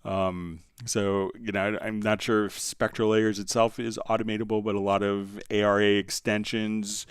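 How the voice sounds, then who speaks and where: A man with a fairly low, clear and smooth voice hosts a casual software livestream. He explains steps conversationally as he answers viewers. The sound is close and dry. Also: flat delivery, normal speed, hardly any pauses, no room sound. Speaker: livestream host, software instructor. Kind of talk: casual live tutorial, answering viewer questions.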